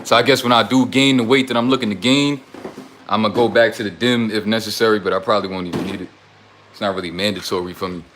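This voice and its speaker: A man's voice: on the low side, fairly even intonation, fast talker, fairly bright tone, a little hiss or hum. Blunt young man preaching in slang. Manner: rambling, drifts between topics. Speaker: self-styled life coach. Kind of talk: casual livestream talk to chat.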